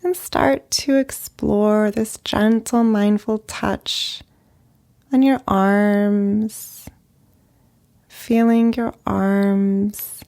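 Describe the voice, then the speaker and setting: A woman leading a guided meditation as a therapist, speaking gently in short phrases with long pauses. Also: mid-range voice, fairly even intonation, gravelly. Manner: slow, gentle, pausing between short phrases.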